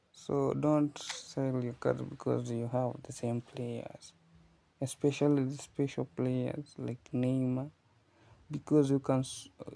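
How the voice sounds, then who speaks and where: Man, Kiswahili speaker, unhurried, monotone, gravelly, patient gaming tutorial host. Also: mid-range voice, a little hiss or hum. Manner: explains patiently to viewers, often asking "you see?". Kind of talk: casual gameplay tutorial commentary. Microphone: phone recording.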